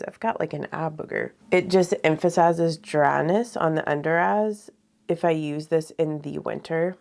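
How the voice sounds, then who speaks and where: A woman's voice: sits low, gravelly, darkish tone. A woman vlogger chatting casually through her makeup routine, sounding slightly congested and nasal, with lots of filler words. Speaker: beauty vlogger. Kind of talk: casual get-ready-with-me talk.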